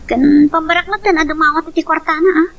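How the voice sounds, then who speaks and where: A woman's voice: very high-pitched, steady tone, quick pace. A woman with an Ilocano accent acting a trusting young wife and mother in an amateur audio drama. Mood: matter-of-fact.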